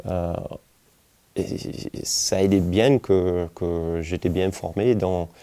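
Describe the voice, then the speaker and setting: A man in his forties from the United States with an American accent, speaking haltingly and searching for words in an interview. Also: deep voice, steady tone, warm tone, smooth. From United States, Kentucky. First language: English. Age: 40s. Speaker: winemaker. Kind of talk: relaxed documentary interview, telling life story.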